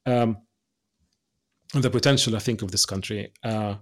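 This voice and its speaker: A young adult man from the West Bank, speaking as an interview guest in an earnest, passionate way, often restarting and dropping to quiet asides. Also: fairly low pitch, steady tone, measured pace. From West Bank, Palestine. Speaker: podcast guest.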